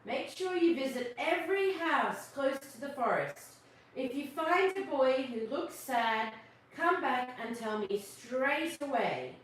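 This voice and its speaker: A woman's voice: high voice, expressive, takes it slowly, voice pushed up. A woman voicing a wise, kindly male owl who leads the forest birds in a children's storybook, thoughtful and reassuring. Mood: commanding, warm. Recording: distant, very echoey, faint noise.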